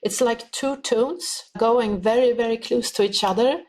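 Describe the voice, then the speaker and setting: A woman's voice: on the high side, a little slow, darkish tone. A woman being interviewed as a spiritual healer, explaining her ideas earnestly in simple, uneven English phrasing. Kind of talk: podcast interview answers.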